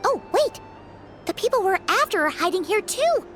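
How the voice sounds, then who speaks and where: A woman voicing a tiny childlike fairy companion in a game, squeaky, excitable and easily flustered. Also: moderate pace, exaggerated voice. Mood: excited, nervous. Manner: flustered, exclaiming, refers to self by name. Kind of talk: animated game character acting. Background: background music.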